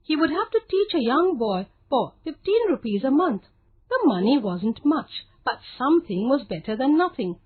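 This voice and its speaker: A woman's voice: high voice, very animated, moderate pace, husky. A woman narrating a scripted story for children as a storyteller. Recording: phone-like narrow, lo-fi sound.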